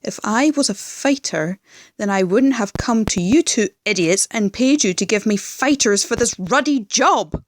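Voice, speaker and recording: A woman acts out a scheming female crime boss in an audio drama, speaking slowly in a close, dry sound. She is commanding and sardonic, used to being obeyed. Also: fairly high pitch, expressive, no room sound. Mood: exasperated, commanding. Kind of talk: fan audio drama acting.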